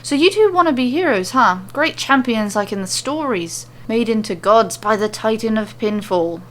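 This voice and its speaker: A woman's voice: on the high side, lively intonation, normal speed, a bit bright. A woman voicing a jolly, boastful Irish woman warrior in a fantasy story, theatrical and friendly with a dour streak. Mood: grandiose.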